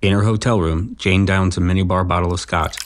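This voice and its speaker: A low-pitched narrator delivers scripted scene descriptions for an audio drama. There is faint noise behind the voice. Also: steady tone, a little fast.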